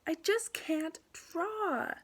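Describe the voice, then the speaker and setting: A woman's voice: very high-pitched, drawn-out words, pitched above the performer's own voice, exaggerated voice. A woman voicing a frustrated, stubborn young schoolgirl in a storybook, with an emphatic, sulky delivery. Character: frustrated, stubborn little schoolgirl who doubts her drawing.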